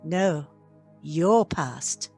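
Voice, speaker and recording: A woman playing an ageless spirit, gentle yet commanding, with stately, solemn delivery in a radio play. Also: deep voice, animated, extremely slow, vocal fry, breathy edge, soft background music, exaggerated voice. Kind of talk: theatrical radio play acting.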